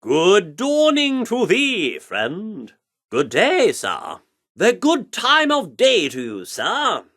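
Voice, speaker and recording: A slow, swooping, pompous thespian. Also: male voice, high-pitched, huge pitch swings, a bit bright, full character voice. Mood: courtly greeting. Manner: theatrical, declamatory, archaic diction. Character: pompous theatrical thespian man speaking in mock-Shakespearean bombast. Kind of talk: video game character voice lines.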